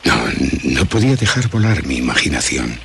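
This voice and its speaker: Very deep, solemn horror storyteller. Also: male voice, very animated, moderate pace, slight background noise. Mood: fearful, suspenseful. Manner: solemn, literary, suspenseful delivery. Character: anxious male philosopher recounting a night of terror. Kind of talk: dramatic first-person horror radio narration.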